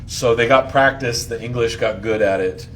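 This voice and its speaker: A man hosts an informal Bible study in a slangy, joking style. He quizzes his listeners and acts out lines with gusto. There is clear background noise behind his voice. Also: medium pitch, moderate pace. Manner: slangy and jokey, acting out lines loudly. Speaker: Bible study host and teacher.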